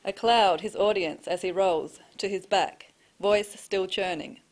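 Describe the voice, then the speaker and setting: A woman's voice: medium pitch, steady tone, measured pace. A woman reading her own poetry, with casual introductions between pieces. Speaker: poet reading own work.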